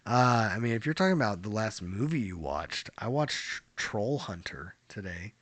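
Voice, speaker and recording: A man hosting a podcast, chatting casually and joking with a relaxed manner. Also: on the low side, normal speed, dry recording. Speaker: podcast host. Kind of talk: casual chat.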